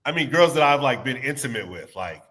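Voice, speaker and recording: A man's voice: medium pitch, lively intonation, a little fast, fairly bright tone. A man with a stuffy nose, guesting on a casual podcast chat, rambling and restarting his sentences. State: stuffy nose, under the weather.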